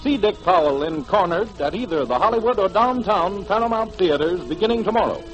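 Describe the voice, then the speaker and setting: High, animated American 1940s radio announcer man. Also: a little fast, faint music behind. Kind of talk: 1940s radio announcing, scripted contest promotion. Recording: dull 1940s radio broadcast.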